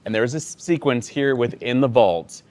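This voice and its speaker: Bantering male interviewer, lively pitch. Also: fairly quick. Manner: flirty, bantering, enthusiastic. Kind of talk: casual interview chat.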